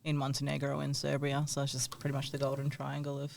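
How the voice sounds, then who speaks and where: A man's voice: medium pitch, completely flat delivery, fast talker, gravelly, a little hiss or hum. A man, a tattoo artist guest, chatting casually and swearing freely, laughing often. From Australia. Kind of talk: casual podcast chat.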